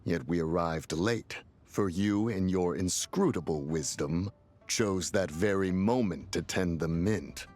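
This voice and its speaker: A man's voice: deep voice, measured pace, a little mellow, soft background music. A man playing a stately, self-righteous immortal in a fantasy game, with formal, archaic diction. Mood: aggrieved. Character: stately immortal male adeptus, solemn, self-righteous and dryly sly. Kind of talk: fantasy video game voice acting.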